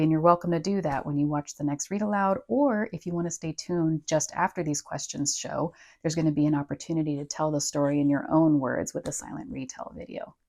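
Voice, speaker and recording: A woman's voice: deep voice, mellow. A woman presenting a scripted children's lesson as a speech therapist host.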